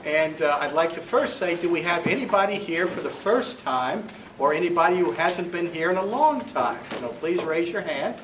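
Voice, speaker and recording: A man speaking as club president, giving an informal welcome and announcements in a halting, rambling, self-correcting way. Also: on the high side, lively intonation. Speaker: club president hosting a meeting. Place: meeting room, recorded from a distance. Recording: roomy, distant mic, faint noise.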